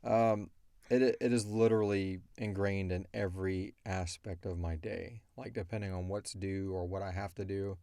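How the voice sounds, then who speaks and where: Male podcast host, lower register, dry close sound, loose rambling chat with self-mocking humor. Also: steady tone, normal speed, warm tone, no echo. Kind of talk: casual question-and-answer chat.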